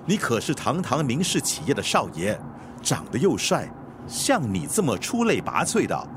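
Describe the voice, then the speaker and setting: A loyal chauffeur in a radio drama, nagging his master with earnest, blunt questions. His mid-pitched voice moves in lively swings, with clear vehicle noise around him. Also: moderate pace. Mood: nagging, bewildered.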